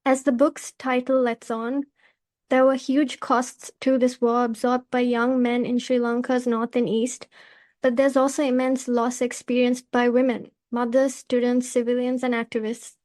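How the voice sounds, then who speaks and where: A woman hosting a podcast, reading scripted introductions and questions in a measured, formal manner. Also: on the high side, flat delivery, darkish tone. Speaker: podcast host and editor.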